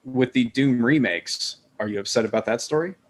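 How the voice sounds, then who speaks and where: A man's voice: mid-range voice, normal speed. A man talking casually as a podcast panelist, feeling his way through points in loose phrasing. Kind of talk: casual group discussion.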